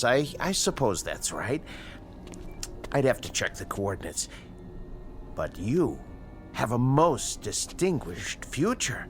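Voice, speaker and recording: An actor playing an educated, uneasy scientist in a radio drama, with precise, formal diction and dramatic pauses. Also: male voice, medium pitch. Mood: earnest, excited. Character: educated, uneasy male scientist, inventor of a time machine. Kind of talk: radio drama acting, dialogue and dictated log narration.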